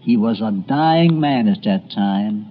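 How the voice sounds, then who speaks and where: A man's voice: lowish voice, lively intonation, slow pace. A man narrating a scripted documentary as host, in a folksy, dramatic storytelling manner. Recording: muffled, noisy old tape.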